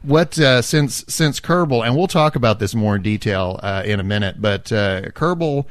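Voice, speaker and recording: A man's voice: fairly low pitch, lively intonation, moderate pace, no room sound. Rambling male podcast co-host, dry sound. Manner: rambling, with many restarts and chuckles. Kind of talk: casual chat.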